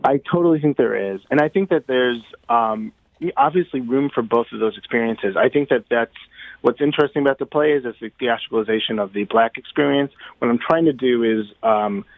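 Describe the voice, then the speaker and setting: Halting man on a phone-like line. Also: mid-range voice, no echo. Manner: thoughtful, halting, searching for words. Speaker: interview guest, playwright. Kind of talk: conversational radio interview.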